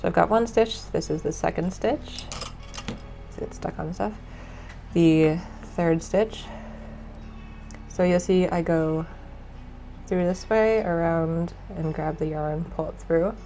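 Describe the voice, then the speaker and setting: A woman's voice: on the low side, slow pace, pauses often, a little hiss or hum. Stuffy-nosed woman teaching knitting. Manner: relaxed, many ums and uhs. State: stuffy from a cold. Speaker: knitting instructor. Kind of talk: casual tutorial explanation.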